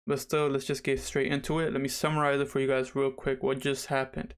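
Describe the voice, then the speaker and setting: A young man hosting a casual livestream, slightly raspy after dental surgery, chatty and rambling as he talks straight to viewers. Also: medium pitch, level tone, brisk. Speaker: livestream host.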